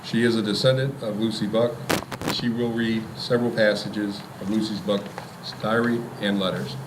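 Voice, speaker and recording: A man's voice: lowish voice, steady tone, moderate pace. A man hosting a live event, formally introducing the next speaker. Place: live historic house tour event. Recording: noisy, distant microphone live recording.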